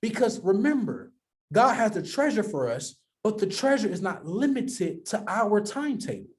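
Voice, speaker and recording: A man preaching a sermon, his voice sitting fairly high for a man. He reads a scripture passage aloud, then unpacks it in loose, conversational talk, circling back to repeat his main points. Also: sing-song, moderate pace.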